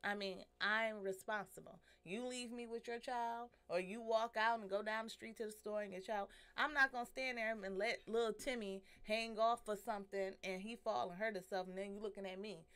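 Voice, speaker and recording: Woman co-host, mid-pitched, blunt and emphatic, on a desk mic. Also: steady tone, a bit bright, smooth. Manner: blunt, emphatic, quick to agree. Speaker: talk show co-host. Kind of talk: casual group chat. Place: small studio room, indoors. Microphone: desk mic on a stand.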